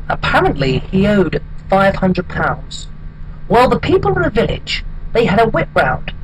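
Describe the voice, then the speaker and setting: Casting: actor as hapless wistful bachelor, high male voice, deadpan and halting comic monologue, noisy homemade recording. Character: hapless, wistful single man telling his odd life story.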